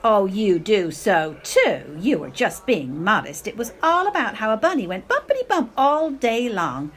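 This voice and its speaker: A woman's voice: mid-range voice, sing-song, moderate pace, lightly acted. A woman acting a melodramatic, self-pitying, clinging middle-aged mother in a radio play, with theatrical, affected speech. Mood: doting, wistful. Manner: theatrical, affected, sprinkles in foreign phrases.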